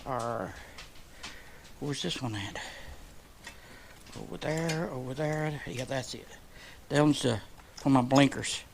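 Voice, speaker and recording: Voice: medium pitch, takes it slowly, creaky, long pauses, slight background noise. Rambling, folksy DIY vlogger at work. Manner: jokey, rambling, folksy colloquial grammar. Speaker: vlogger working on a motorcycle. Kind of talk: casual rambling DIY update vlog. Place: shed workshop.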